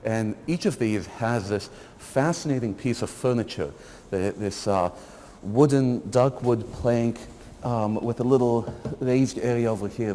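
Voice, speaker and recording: A man's voice: fairly low pitch, warm tone. Dry-witted male lecturer in echoing halls. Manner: dry, wry critical commentary. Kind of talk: walking building tour talk. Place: indoor hallways and empty lecture hall. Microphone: far camera. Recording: reverberant hall, faint room noise.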